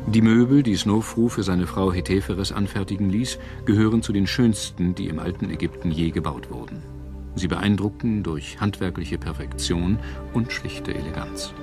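Low-pitched man narrating a documentary over music. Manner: measured, factual.

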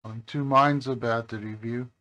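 A man with a low voice talking slowly and casually at his workbench, with many pauses and hesitations. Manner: slow, relaxed, with many hesitations. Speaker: phone repair channel host. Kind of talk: casual talk to camera while working. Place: workbench by a highway.

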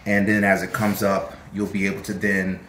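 A middle-aged man hosting a gardening channel, giving a relaxed, chatty how-to demonstration with jokey asides in a home kitchen. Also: low-pitched, moderate pace, slight background noise.

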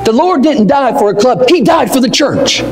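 Older man preaching a sermon from the pulpit, high and animated, with room echo behind him. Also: faint music behind. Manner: impassioned, rising to near-shouts, dropping low and slow. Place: church auditorium. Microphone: pulpit mic, wide far camera. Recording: echoey hall with long reverb.

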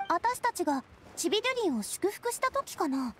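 A woman playing a gentle, thoughtful young adventurer in an anime video game, soft-spoken and inquisitive. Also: very high-pitched, very animated, a little fast, a little hiss or hum.

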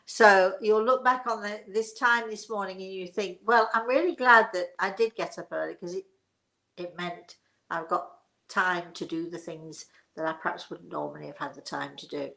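A woman's voice: lowish voice, moderate pace, creaky, pushed and bright, rough recording. A middle-aged woman from England with an English accent, chatting and telling anecdotes as a host in a rambling, chuckling way. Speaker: host of a live story session. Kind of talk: casual chat and personal anecdotes. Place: living room, indoors.

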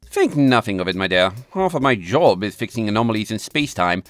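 A man's voice: fairly low pitch, big pitch swings, brisk, dry recording, soft background music. A man voicing a fussy, courteous male pony scientist, wordy and pompous, in a cartoon comic dub. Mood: assured.

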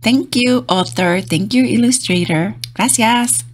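A woman reading aloud to young children as a storybook host, in a gentle, encouraging, sing-song manner. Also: a little high, unhurried.

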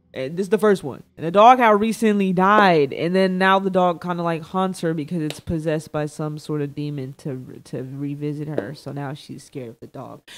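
A young man livestreaming a casual reaction, bantering playfully with chat in slangy, informal speech. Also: high voice. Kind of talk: casual reaction stream commentary. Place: indoor room at a streaming setup. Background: faint background music.

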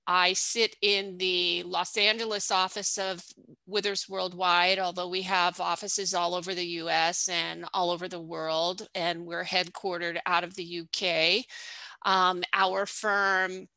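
Slow, measured middle-aged woman with a bright voice. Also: on the low side, little pitch movement, gravelly, dry recording, rough recording. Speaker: lawyer, panelist. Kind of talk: panel self-introduction. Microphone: headset on video call.

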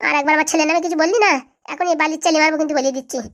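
Woman playing a shrill-pitched, sharp-tongued village wife. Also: steady tone, rapid-fire. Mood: quarrelsome. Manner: mocking, quarrelsome. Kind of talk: comic cartoon dialogue.

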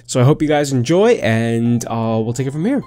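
Male game-guide narrator, average pitch, informal and playful direct address, music underneath. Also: big pitch swings, moderate pace, dark tone. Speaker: gaming video host. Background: background music.

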